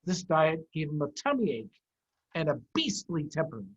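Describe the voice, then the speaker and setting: A middle-aged American man, a head of school, hosting an online story time and reading aloud to young children in an animated, emphatic way with dramatic pauses. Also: fairly high pitch, husky. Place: online video call. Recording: dull, muffled online video call.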